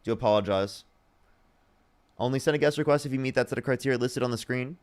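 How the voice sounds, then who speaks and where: A man's voice: mid-range voice, fast, smooth. A young man hosting a live-streamed debate, talking bluntly and repeating his screening questions to each new guest. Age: young adult. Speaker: livestream debate host.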